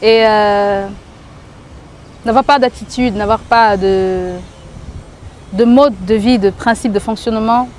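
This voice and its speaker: A woman singer speaks casually in an interview, thinking aloud and often pausing to find her words. Her voice sits fairly high. Faint street and traffic noise runs behind her outdoors. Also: steady tone, darkish tone. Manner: thoughtful, searching for words with frequent hesitations.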